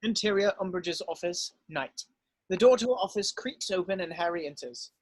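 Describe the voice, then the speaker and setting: A man's voice: high-pitched, animated, normal speed, no echo. A man narrating, reading screenplay stage directions aloud at a script table read.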